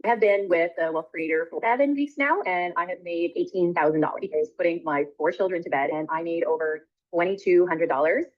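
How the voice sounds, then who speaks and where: A woman's voice: fairly low pitch, fairly quick. Heartfelt woman in a lo-fi testimonial. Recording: dull lo-fi audio.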